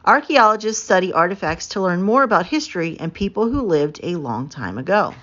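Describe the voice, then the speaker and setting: A woman narrating a children's book aloud in an expressive, emphatic storytelling manner. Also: fairly low pitch, fairly quick, muffled recording. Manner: animated, emphatic storytelling with stretched key words.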